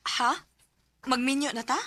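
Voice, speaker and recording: A woman acts as a young Cebuano wife in a Filipino radio drama. Her voice sits high and rings bright, and she is loving yet quick to scold when crossed. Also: fairly even intonation, faint music behind. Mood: coy, affectionate. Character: young Filipino village wife, tender but sharp-tongued when crossed. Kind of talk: Bisaya radio drama acting.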